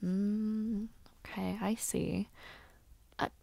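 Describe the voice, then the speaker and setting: A young woman playing a lively noblewoman and aspiring knight in a fantasy audio roleplay, chatting to the listener in a teasing, expressive, conversational way. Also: mid-range voice, very slow, creaky, no room sound. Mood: curious.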